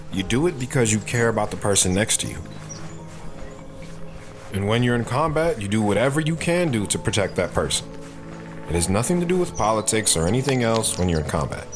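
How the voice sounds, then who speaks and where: A man's voice: medium pitch, lively intonation, a little fast, close to an everyday voice. An actor playing a protective soldier father who talks patiently and earnestly to his daughter in an audio drama. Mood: earnest, grave. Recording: music behind the voice.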